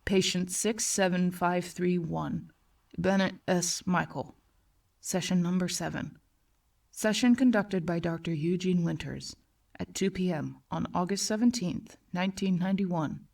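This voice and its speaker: A woman voicing a calm male psychiatrist in a horror story, speaking softly in a dry, measured professional monotone. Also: lowish voice, vocal fry, far from the mic, pitched below the performer's own voice. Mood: detached, clinical. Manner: soft-spoken, measured monotone, formal. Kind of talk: acted horror story dialogue.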